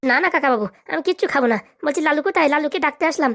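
A cartoon actor voices an indignant young man with a rural Bengali accent. The voice is high and races along very fast, excitable and full of boastful swagger, as a wronged friend in a village comedy. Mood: polite. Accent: Bengali, rural dialect.

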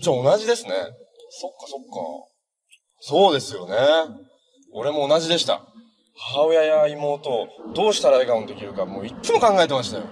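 An actor playing a cheerful, earnest, easygoing young hero in a TV drama, talking in a casual, boyish way. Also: male voice, on the high side, sing-song, a little fast, darkish tone. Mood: earnest, thoughtful. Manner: casual, friendly, boyish phrasing. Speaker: actor in a TV superhero series. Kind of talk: naturalistic TV drama acting.

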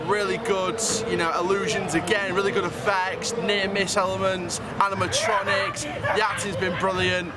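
A man's voice: high-pitched, very noisy. A young man, reviewing a Halloween event in a chatty, upbeat vlog at night outdoors. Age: young adult. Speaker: theme park vlogger. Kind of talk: casual vlog review and interviews. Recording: loud crowd and music outdoors. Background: loud music and crowd.

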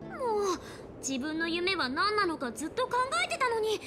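An actress voicing a spirited, cheeky young girl swordfighter in a video game, informal, pouty and expressive. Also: very high voice, normal speed, background noise.

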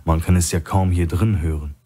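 A man's voice: low-pitched, fairly even intonation, normal speed, dark tone, slightly rough. A man voicing a shrewd, steely male hostage with a blunt, dry manner in a thriller audiobook. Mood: guarded.

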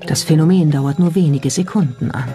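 A documentary narrator reading a script in a measured, explanatory way and posing rhetorical questions. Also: mid-range voice. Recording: music behind the voice. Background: clearly audible background music.

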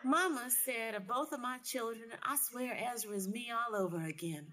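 A woman's voice: a little high, normal speed, sharp tone, a little hiss or hum, voice pushed up. A woman voicing a young boy, an eager, childlike little brother in an audio story. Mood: plaintive.

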